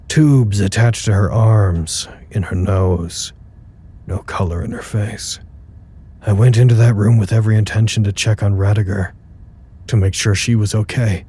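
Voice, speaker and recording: Weary grieving staffer, low mellow voice, subdued confessional log, halting delivery, music underneath. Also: male voice, steady tone, slightly rough. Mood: somber, grieving. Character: grieving, weary male facility staffer broadcasting a personal log. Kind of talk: audio drama monologue.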